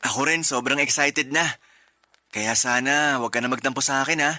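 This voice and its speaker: A man playing a protective, jealous young husband in a radio drama, speaking calmly and gently persuasively. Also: medium pitch, normal speed, no echo. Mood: tender.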